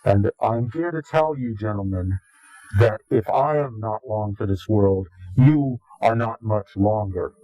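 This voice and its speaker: A man giving interview testimony in a grave, deliberate, halting and portentous way. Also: fairly low pitch, no echo, highs cut off. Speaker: interviewee, claimed insider. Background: music.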